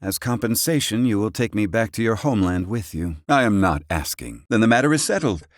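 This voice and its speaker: A dry, close-sounding studio voice of a proud old fantasy inventor performed by a man, pitched low. He is gruff and curt, and talks down to people in lofty, old-fashioned scholarly words, as a video game character. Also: expressive, fairly quick, dry recording, lightly acted. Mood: lecturing, haughty. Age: older. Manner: haughty, curt, archaic erudite phrasing.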